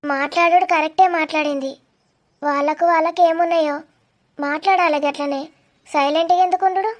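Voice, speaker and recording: A woman's voice: sits high, fairly even intonation, fairly quick, lightly acted. A woman playing a stubborn, outspoken village mother in a cartoon. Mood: stubborn, defiant. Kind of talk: animated cartoon dialogue.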